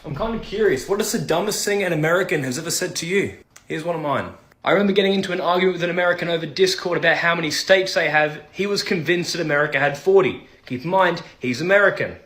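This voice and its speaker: A man quickly posing a question and sharing a short story. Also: fairly high pitch, fairly bright tone. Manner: quick, repeated question prompt.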